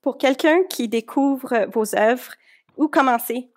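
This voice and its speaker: A woman's voice: high-pitched, takes it slowly. A woman conducting a polite telephone interview in a courteous, formal and slightly hesitant manner.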